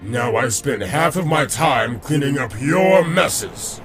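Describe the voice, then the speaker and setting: A man's voice: medium pitch, animated, takes it slowly, over music. An actor playing a smug, domineering robot lawman in a comic dub, with a condescending, sardonic manner. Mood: scolding. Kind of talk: dramatic comic dub acting.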